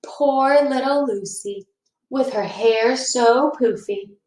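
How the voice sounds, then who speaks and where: A woman's voice: fairly high pitch, takes it slowly, darkish tone. A woman voicing a mean, mocking schoolboy bully in a storybook read-aloud. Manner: mocking, exclaiming. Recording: roomy, echoing indoor sound.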